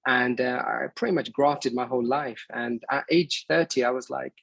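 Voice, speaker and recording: A man in his early thirties with a somewhat husky voice, recorded close and dry. He talks through an online meeting in a loose, casual way, stopping often to restart his sentences. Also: mid-range voice, normal speed, fairly bright tone, no room sound. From Bangladesh, raised in England. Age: 30s. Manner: hesitant, many fillers and restarts. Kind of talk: casual presentation on a video call.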